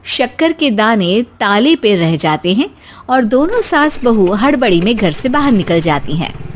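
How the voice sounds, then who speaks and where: A woman tells a comic bedtime story as scripted narration. Her voice is notably high. She reads slowly, line by line, as the storyteller. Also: very animated, a little hiss or hum.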